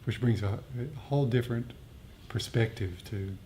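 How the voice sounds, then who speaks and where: A man with a soft, mellow voice gives a reflective dharma talk. He explains things haltingly, pausing to find his words as he answers a group. A low rumbling noise sits behind him. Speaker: meditation teacher. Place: group meditation gathering.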